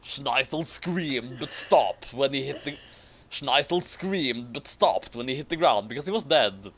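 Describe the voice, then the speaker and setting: A man's voice: medium pitch, lively intonation, measured pace, a bit bright, slight background noise, rough recording. A man hosting a comic commentary, reading a story aloud and chatting casually about it. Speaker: reader and host of a fan fiction commentary.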